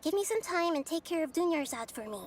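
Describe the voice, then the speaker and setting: A woman's voice: very high-pitched, animated, fast, heavy background noise. A woman voicing a young, shy, clever female tinkerer in a video game, with a modest, understated manner. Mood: flustered.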